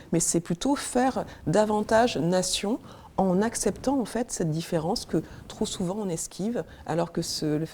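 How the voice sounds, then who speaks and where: A woman's voice: medium pitch, mellow. A woman from Congo, a senior civil servant, speaking earnestly as a guest in a studio discussion and searching for words as she goes.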